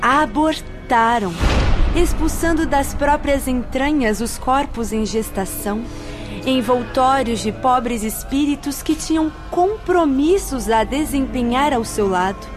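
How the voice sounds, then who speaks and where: A stern spirit nun in a radio drama, lecturing visitors in a grave, measured tone. Her voice sits a little high. A music bed runs clearly beneath every line. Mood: solemn. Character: solemn nun spirit, stern female guide.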